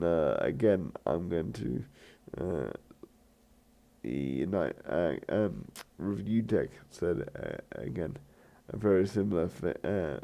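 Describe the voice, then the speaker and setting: A man with a croaky, gravelly voice chats loosely over his game footage, stopping and restarting his sentences. His voice is low and dark, and it rises and falls a lot as he rambles. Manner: hesitant, many fillers and restarts. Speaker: gaming channel host. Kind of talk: rambling let's play commentary.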